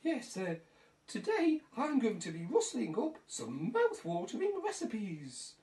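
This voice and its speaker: Chatty monkey host for comic puppet cookery spot, female performer, polite, muddled, very animated. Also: fairly high pitch, measured pace, reverberant, distant mic. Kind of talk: comic puppet show presenting.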